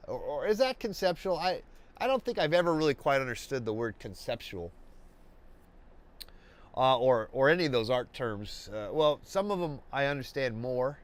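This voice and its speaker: A man hosting a live painting webcast, congested and stuffy, talking in a slow, rambling way with many restarts and fillers. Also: medium pitch, lively intonation. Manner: halting, many restarts and fillers, laid-back. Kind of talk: rambling live-stream chat.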